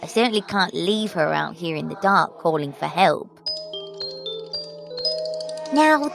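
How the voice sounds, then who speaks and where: Prim, gravelly-edged woman, unhurried. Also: mid-range voice, exaggerated voice. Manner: formal, old-fashioned phrasing, musing to herself. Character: prim, inquisitive young-seeming supernatural lady, fretful yet resolute. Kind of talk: audio drama acting.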